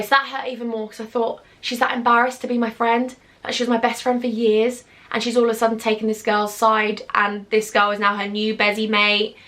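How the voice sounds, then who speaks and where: A woman's voice: a little high, fairly bright tone. A young British woman telling a personal storytime on her vlog, chatty and confiding with sarcastic asides. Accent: British English. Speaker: YouTuber.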